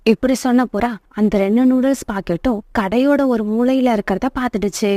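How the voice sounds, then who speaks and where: A woman's voice: a little high, a little fast, warm tone, dry recording, clean studio sound. A woman narrating a scripted children's story as the storyteller.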